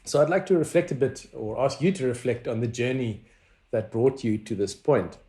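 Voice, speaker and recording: Measured interviewer in an echoey room. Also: male voice, medium pitch, warm tone. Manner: measured, reads a prepared introduction. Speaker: interviewer, media professor. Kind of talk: interview host.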